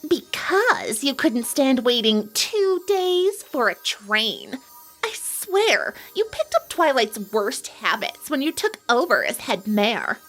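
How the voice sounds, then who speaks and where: Female actor for theatrical pony magician: high, slow wry drawl, swooping, affectionate sass. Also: very animated, a bit bright, faint music behind, heavily acted, poor audio. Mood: exasperated. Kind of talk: dramatised fan fiction reading.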